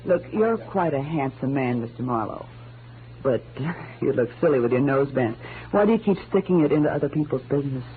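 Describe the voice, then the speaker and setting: An actress playing a cool, cutting, defiant nightclub femme fatale in 1940s radio drama style. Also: female voice, rumbling low, expressive, a little fast. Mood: cool, teasing. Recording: tinny, noisy old radio recording.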